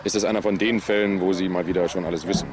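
An actor playing a blunt, worldly junior police detective with a casual, matter-of-fact manner in a German crime radio drama. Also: male voice, deep voice, steady tone, very fast, a crowd in the background, natural voice. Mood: dry, assured. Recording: noisy outdoor ambience.

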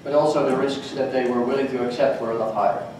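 Man, panelist, halting speech, very echoey conference hall, distant mic. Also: mid-range voice, fairly even intonation, a little mellow, slight background noise. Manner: halting, many restarts. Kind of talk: panel discussion. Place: hotel conference room, panel table. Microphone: table mic, recorded from far off. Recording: echoey hall recorded from far off.

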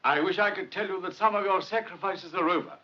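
An actor playing an earnest scientific leader in a 1950s film, speaking in formal, measured oratory. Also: male voice, high-pitched, bright, forceful tone. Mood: solemn, proud. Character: earnest scientific leader addressing his team. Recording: muffled old film soundtrack.

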